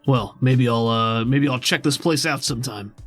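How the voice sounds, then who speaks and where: A man acting as game master, narrating and role-playing casually with an easygoing, halting delivery. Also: medium pitch, faint music behind.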